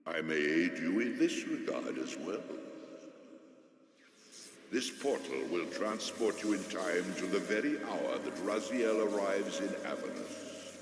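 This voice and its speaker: A solemn, cryptic oracle spirit of great age, intones in a low voice, drawing out phrases with portentous command. The game voice rings with heavy echo and a long cavernous tail. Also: male voice, animated. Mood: urging, portentous. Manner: portentous, drawn-out, commanding delivery. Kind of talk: dramatic video game voice acting.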